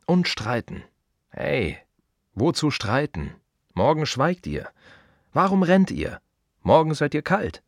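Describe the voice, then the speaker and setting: An actor playing a blunt, brooding gravedigger in a radio drama monologue, speaking colloquially in short, repeated, emphatic phrases. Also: male voice, fairly high pitch, big pitch swings, slow pace, long pauses, dry recording, clean studio sound, exaggerated voice. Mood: agitated. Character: blunt, brooding male gravedigger musing on life and death.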